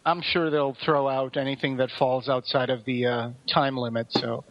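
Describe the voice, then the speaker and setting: A man's voice: medium pitch, flat delivery, moderate pace. A man co-hosting a podcast in casual, enthusiastic chat, telling things in an anecdotal way. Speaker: podcast co-host. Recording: dull, roomy recording.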